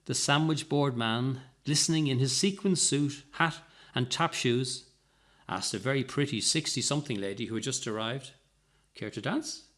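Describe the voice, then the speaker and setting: A man reading comic fiction aloud as expressive scripted narration. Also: medium pitch, moderate pace. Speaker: story reader.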